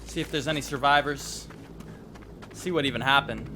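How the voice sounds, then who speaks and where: Chatty man over clear background noise. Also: medium pitch, normal speed, sharp tone. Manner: informal, chatty, rambling. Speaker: gaming YouTuber host. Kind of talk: casual game reaction commentary.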